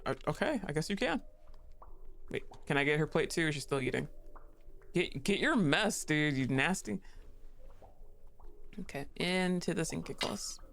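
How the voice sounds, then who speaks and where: A woman's voice: low-pitched, animated, moderate pace, creaky, long pauses, a bit bright. A young woman streaming a game, talking casually, laughing often and swearing freely.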